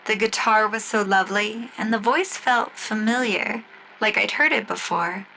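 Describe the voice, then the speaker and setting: A woman narrating a scripted first-person story in a gentle, storybook manner, styled after old-time 1940s radio drama. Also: medium pitch, moderate pace, vocal fry, a little hiss or hum, rough recording. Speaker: storyteller and singer.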